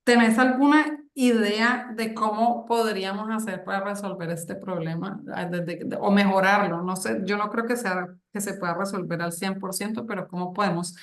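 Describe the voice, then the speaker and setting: A woman's voice: medium pitch, fairly even intonation, normal speed, few pauses. A woman on a thesis jury asking questions, hesitant and full of fillers and restarts. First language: Spanish. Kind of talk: academic questioning at a thesis defense. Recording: echoey room.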